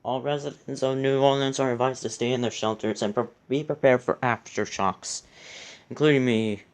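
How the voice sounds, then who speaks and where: A man's voice: medium pitch, steady tone, moderate pace, clear, resonant tone. A man delivering a news bulletin as an anchor on air.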